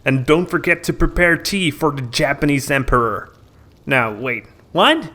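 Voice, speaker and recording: Guy doing a game review voiceover, kinda high voice, really swoops up and down, dramatic storyteller style. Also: expressive, unhurried, bright, forceful tone. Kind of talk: scripted review narration.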